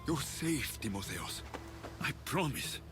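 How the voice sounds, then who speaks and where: A man acting a calm, protective ancient Greek mercenary in a video game, steady and reassuring in manner. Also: mid-range voice, takes it slowly, music in the background. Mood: urgent reassurance.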